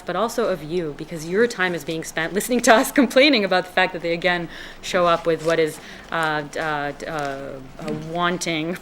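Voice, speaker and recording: A woman's voice: fairly low pitch, a little hiss or hum. A woman from Israel, a resident speaking at a town hearing, earnest and hesitant with many fillers and restarts. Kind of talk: public comment at a town hearing. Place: town meeting room.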